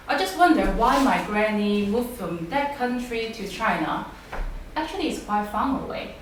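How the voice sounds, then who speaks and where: A woman from Macau, telling family stories slowly and gently in a live performance. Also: mid-range voice, slight background noise. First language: Cantonese. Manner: slow, gentle, reflective storytelling. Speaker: artist and storyteller. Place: venue hall with an audience. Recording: echoey hall from far off with music. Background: instrumental music.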